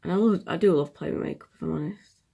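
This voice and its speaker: Sniffly young woman, gravelly voice. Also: low-pitched, quick pace, warm tone, muffled recording. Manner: chatty, self-deprecating, drops into whispers while working. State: sniffly from a cold. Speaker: makeup tutorial vlogger. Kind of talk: casual makeup tutorial chat.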